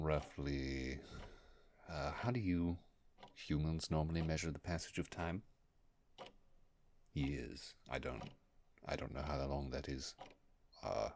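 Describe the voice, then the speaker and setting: A man's voice: a bass voice, darkish tone, slight background noise, heavily acted. A man playing an ancient, bookish creature in a roleplay audio, wordy and pompous but awkwardly hesitant and eager to impress. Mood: flustered, musing. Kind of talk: immersive roleplay audio monologue.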